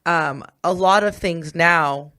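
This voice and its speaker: A woman's voice: fairly low pitch, takes it slowly, sharp tone, dry recording, studio quality. A woman co-hosting a podcast in a casual conversational style. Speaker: podcast co-host. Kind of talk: casual chat.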